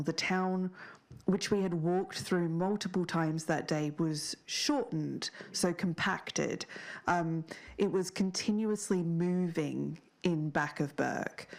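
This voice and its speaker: A woman playing an earnest, shaken survivor of a strange town, speaking haltingly in a naturalistic audio drama interview. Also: deep voice, creaky, a little mellow, full character voice. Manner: halting, searching for words.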